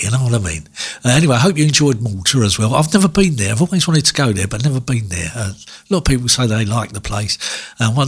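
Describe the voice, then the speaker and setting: A man's voice: fairly low pitch, slightly rough. A man hosting a music radio show, chatting casually between records with lots of fillers and restarts. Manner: rambling, many fillers and restarts.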